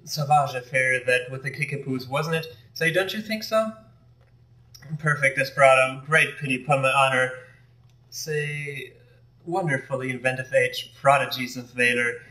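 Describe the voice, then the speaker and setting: A man voicing an affected, foppish gentleman who rambles and dodges questions. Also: mid-range voice, fairly even intonation, normal speed, voice pushed down, lightly acted. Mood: evasive. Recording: echoey room, mic far off.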